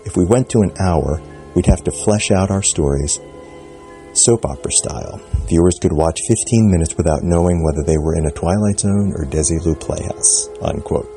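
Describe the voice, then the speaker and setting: A man's voice: low voice, moderate pace, mellow, faint music behind. A man giving spoken commentary on a TV episode, rambling and hesitant, with many fillers and restarts. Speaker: podcast contributor.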